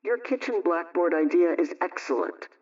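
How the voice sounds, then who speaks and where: A dull, distant old radio recording carries a brisk, friendly homemaking adviser. She gives measured, instructive advice in the scripted style of a 1920s household program. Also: fairly low pitch, big pitch swings, a little mellow.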